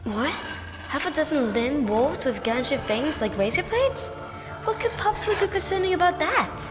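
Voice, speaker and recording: An actress playing a no-nonsense, sarcastic Time Lord agent with a dry, brisk manner in a science-fiction audio drama. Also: female voice, sits high, big pitch swings. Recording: long reverb with music behind.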